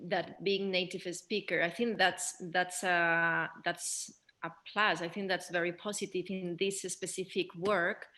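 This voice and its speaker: A woman's voice: lowish voice, level tone, a little slow, bright, forceful tone. A woman moderating a post-show question and answer session, circling back to rephrase as she puts her questions. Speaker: panel moderator.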